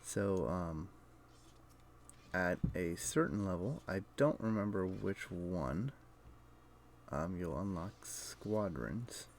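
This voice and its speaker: A male game streamer with a fairly low, gravelly voice talks casually through what he is doing. He speaks slowly and haltingly, half to himself, explaining things to viewers. There is faint noise behind him. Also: animated. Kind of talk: casual live gameplay commentary, explaining to viewers.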